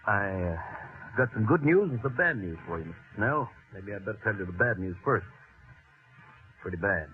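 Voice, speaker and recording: Actor as low-voiced, halting radio police lieutenant. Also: male voice, lively intonation, soft background music, lightly acted. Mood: grave, awkward. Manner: halting, deliberate. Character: awkward, matter-of-fact male police lieutenant breaking bad news. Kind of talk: 1940s radio drama acting. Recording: narrow-band old radio recording.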